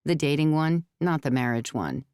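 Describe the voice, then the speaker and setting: Low-voiced woman narrating a novel. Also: expressive, mellow, smooth, no echo, studio quality. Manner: measured, storytelling. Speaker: audiobook narrator. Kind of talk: scripted fiction narration.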